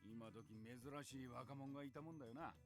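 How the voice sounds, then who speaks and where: Gruff, fast-talking middle-aged shop owner man acting. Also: medium pitch, soft background music, close to an everyday voice. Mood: brusque, businesslike. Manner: blunt, rough-spoken, threatening. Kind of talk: Japanese visual novel voice acting.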